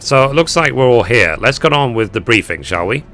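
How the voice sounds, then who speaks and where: A man plays a gruff male commander from a fantasy game, giving brisk orders to his troops. The voice is hoarse but bright and forceful, with quiet music in the background. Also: mid-range voice, animated.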